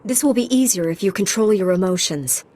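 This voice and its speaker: A woman plays a young female knight in a game cutscene. Her voice is mid-pitched, composed and dryly confident, that of a self-assured fighter. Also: brisk, a little mellow, close to an everyday voice. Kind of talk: dramatic video game acting.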